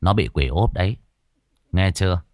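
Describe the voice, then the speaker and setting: A man voicing a hot-tempered young male exorcist, gruff and quick to shout. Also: low-pitched, animated, no echo. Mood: stern. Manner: gruff muttering that bursts into shouts.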